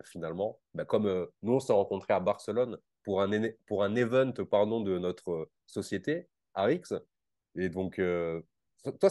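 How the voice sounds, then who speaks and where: A man's voice: lowish voice, darkish tone, dry recording, clean studio sound. A man in his late twenties hosting a casual interview from his bedroom, with an informal, slangy way of talking. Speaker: interviewer and host. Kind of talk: casual video call interview. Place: bedroom at home.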